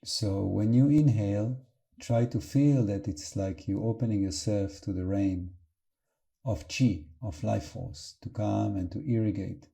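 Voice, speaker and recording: A man leading an unscripted guided meditation as a teacher, calm and gentle, with halting phrases and long pauses. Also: fairly low pitch, gravelly.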